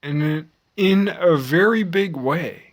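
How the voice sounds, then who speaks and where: A man in his forties with an American accent, giving a rambling, reflective monologue in a halting, musing way with repeated phrases. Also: fairly high pitch. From United States. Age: 40s. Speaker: vlogger.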